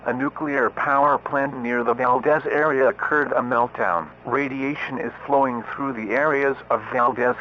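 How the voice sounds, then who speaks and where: A man reading a scripted emergency warning broadcast in a formal, stiff, synthetic-sounding manner. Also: medium pitch. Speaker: emergency alert announcer. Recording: dull lo-fi radio sound, clear noise.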